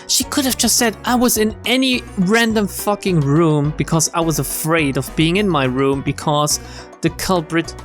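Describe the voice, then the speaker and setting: A man streaming a game, reading its narration aloud expressively with wry, casual commentary. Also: sits high. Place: game stream with music behind.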